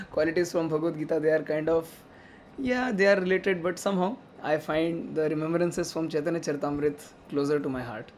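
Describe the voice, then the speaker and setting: A man's voice: fairly high pitch, fairly quick, slight background noise. A man with an Indian accent, Hindi his first language, sharing heartfelt personal memories at a memorial gathering in an earnest storytelling way. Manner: earnest, storytelling with light laughs, grows animated. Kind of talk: personal remembrance talk.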